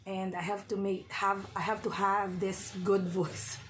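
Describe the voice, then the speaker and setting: A woman tutor with a hoarse voice, talking casually to her viewers and often checking in with "okay?". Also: medium pitch, flat delivery, a little slow, a little hiss or hum. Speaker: reading tutor. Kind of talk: casual vlog talk to viewers.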